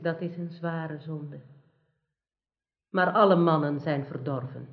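Wicked sorceress as holy confessor, unhurried, solemn, commanding, roomy sound, dull radio play recording. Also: female voice, low voice, steady tone. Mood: stern. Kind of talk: radio drama acting.

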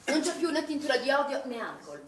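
An actress playing a stern, probing swimming pool manager in a naturalistic stage drama, insistent and scolding in manner. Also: female voice, high voice, very animated, quick pace. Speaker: actor. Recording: echoey, distant stage recording with noise.

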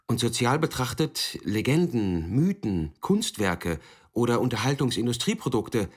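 A man playing an explainer in a literary radio play, emphatic and didactic, addressing the listener directly in a husky voice. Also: mid-range voice. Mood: pointed, knowing. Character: male explainer speaking for a loving automaton.

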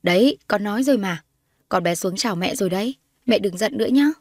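Woman voicing gentle young man, devoted brother, soothing, placating, audio story, dry sound. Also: mid-range voice, fast, no echo, natural voice.

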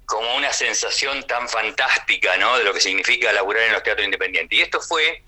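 A man's voice: fairly low pitch, expressive, a little fast, bright, forceful tone. An older man with a Rioplatense Argentine accent, speaking as a theatre actor on the radio in a chatty, rambling, conversational way with frequent question tags.

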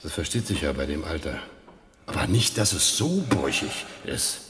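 An actor playing an evasive old village man in a radio crime drama, halting and offhand, with a drawl. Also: a bass voice, lively intonation. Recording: echoey reverberant space, noisy background.

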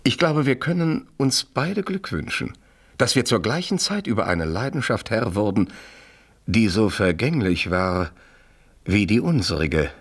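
Suave aristocratic lover, flattering, pompous, low husky voice, lively intonation, radio play. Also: male voice, a little slow, exaggerated voice. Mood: contrite, relieved. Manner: courtly, pompous, flowery. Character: suave, self-satisfied French marquis, flattering faithless lover. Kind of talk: German radio play acting, period drama.

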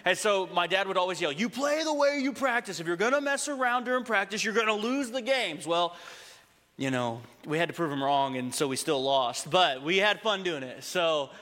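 A young adult man from Texas giving a casual, humorous sermon to a congregation, slowing down to read scripture. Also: sits high, lively intonation, sharp tone. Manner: conversational, humorous, slows down when reading scripture. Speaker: preacher. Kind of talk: sermon with storytelling. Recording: long hall reverb.

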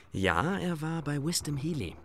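An actor playing an easygoing gentleman who shares dry, knowing gossip in a radio drama. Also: on the high side, slight background noise, exaggerated voice.

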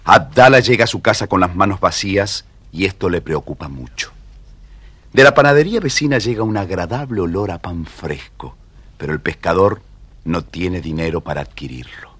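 A man narrating a scripted children's story in a measured, dramatic manner. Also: deep voice, lively intonation, fairly bright tone, husky, no echo.

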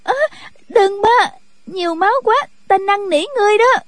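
A narrator acting a high-pitched, frightened young woman pleading. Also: normal speed, no room sound. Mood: terrified pleading. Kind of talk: wuxia audiobook character voice.

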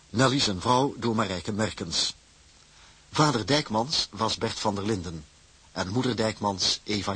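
A man reading formal scripted credits as a radio drama announcer, with a husky voice. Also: lowish voice, fairly bright tone.

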